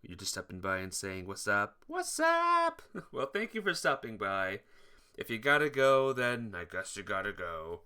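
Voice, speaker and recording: Animated man with a bright voice. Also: mid-range voice, a little slow, clean tone. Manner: animated, expressive reading. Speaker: streamer playing and reading a visual novel. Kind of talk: game playthrough, reading aloud and chatting to viewers. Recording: music behind the voice. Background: game music.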